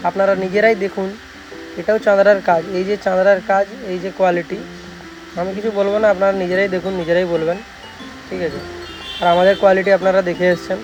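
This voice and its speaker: Fast, even-toned West Bengal Bengali man. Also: high voice, highs cut off. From West Bengal, India. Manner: informal, repeats tag phrases. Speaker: speaker repair technician and channel host. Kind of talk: casual vlog narration showing repair work. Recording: music playing behind the voice. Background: music.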